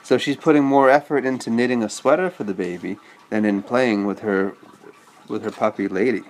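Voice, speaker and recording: A man's voice: medium pitch, a little fast, a little mellow, slight background noise, poor audio. A man reading a children's story aloud and chatting patiently with a child, explaining as he goes. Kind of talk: read-aloud storytelling with casual asides to a child.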